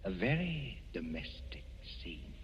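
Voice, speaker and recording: An actor as a suave, ominous male narrator of a 1940s radio suspense tale, measured, wry and dramatic. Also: low-pitched, big pitch swings, slight background noise, full character voice. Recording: muffled, reverberant old radio recording. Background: dramatic music.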